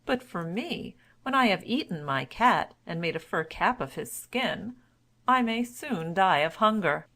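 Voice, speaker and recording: A woman's voice: medium pitch, lively intonation, a little slow, bright, forceful tone. Woman voicing a plaintive poor young storybook lad. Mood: self-pitying. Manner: plaintive, complaining. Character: poor young man, miller's son, sorry for himself.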